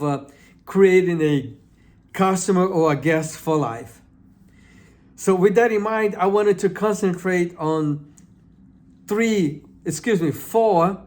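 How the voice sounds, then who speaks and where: A man with a Brazilian accent hosting his own channel, giving earnest advice in a hesitant way, often repeating and restarting words. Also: high-pitched. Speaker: channel host. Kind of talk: informal talk to camera.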